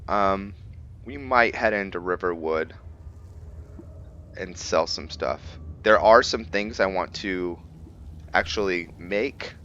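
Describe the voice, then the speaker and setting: A man giving casual commentary on a roleplay video game, thinking aloud with halting pauses. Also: deep voice, bright, forceful tone, dull audio, slight background noise. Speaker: gaming video host.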